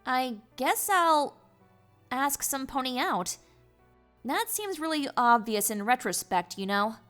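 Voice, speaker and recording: A woman's voice: sits high, expressive, slow pace, a bit bright, faint music behind, heavily acted. A woman voicing an anxious, earnest young pony princess in an audio story, hesitant and questioning. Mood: tentative. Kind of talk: scripted character voice in audio story.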